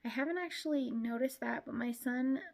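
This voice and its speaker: A woman's voice: high voice, fairly quick. A young adult woman giving a casual vlog update, chatty with frequent laughs and a congested, stuffy voice from a cold. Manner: chatty, laughs often, many "like"s. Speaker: vlogger.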